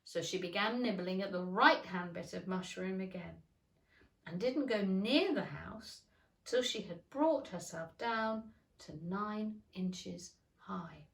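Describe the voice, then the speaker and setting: A woman's voice: medium pitch, slow, gravelly, distant mic. A woman narrating a children's storybook expressively, like a teacher reading aloud. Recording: roomy, echoing room.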